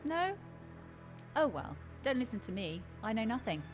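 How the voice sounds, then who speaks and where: A woman's voice: a little high, sing-song, moderate pace. A woman playing a commanding, sardonic, knowing barmaid in an audio drama, with a teasing dramatic delivery. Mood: sarcastic. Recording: music under the voice.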